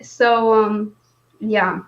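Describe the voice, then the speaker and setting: A woman's voice: mid-range voice, steady tone, extremely slow, highs cut off. A woman hosting a casual book chat, speaking in a wry, informal way. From Romania. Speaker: book discussion host.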